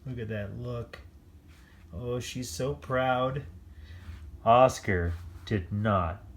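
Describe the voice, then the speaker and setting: Children's read-aloud host: unhurried, playful delivery, drifting into chatty asides about the pictures. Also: mid-range voice. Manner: playful, lingering over pictures with exclamations. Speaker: children's story-time reader.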